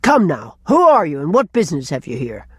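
A woman voicing a big, gruff, angry man with a harsh tone in an audiobook story. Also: sits low, huge pitch swings, a little fast, no echo, clean studio sound, voice pushed down, exaggerated voice. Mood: angry, demanding.

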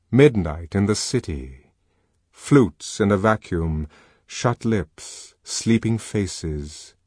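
A man playing a solemn male poet who recites his own verse with deliberate, incantatory pauses in an audiobook. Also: deep voice, darkish tone, no room sound, studio quality. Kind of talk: poetry recitation within an audiobook.

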